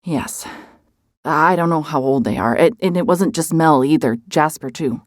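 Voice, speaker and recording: Hard-hearted female PI for horror audio fiction, played by a woman: very low register, dry studio sound, wary and sardonic. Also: normal speed, no room sound, lightly acted. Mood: uneasy. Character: hard-hearted female private investigator, guarded, shaken, sardonic. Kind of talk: cinematic mystery horror audio drama, narration and dialogue.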